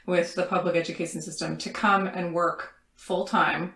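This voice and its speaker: A woman's voice: fairly low pitch, fairly even intonation, moderate pace, fairly bright tone. A woman, co-hosting a casual interview in a reflective, roundabout way, recorded in a roomy space. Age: 40s. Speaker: podcast co-host, interviewer.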